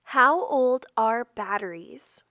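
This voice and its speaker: A woman with a fairly high voice reads educational non-fiction aloud for children. She goes slowly and instructionally, in short separate phrases, and the recording is dry and close. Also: animated, pushed and bright, no echo. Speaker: read-aloud narrator.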